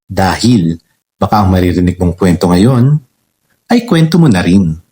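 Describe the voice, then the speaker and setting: Filipino man, mellow husky voice, dramatic romance narration, sentimental delivery. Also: low-pitched, expressive, a little slow. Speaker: narrator of a romance story channel. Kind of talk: scripted dramatic story narration. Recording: music under the voice. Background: background music.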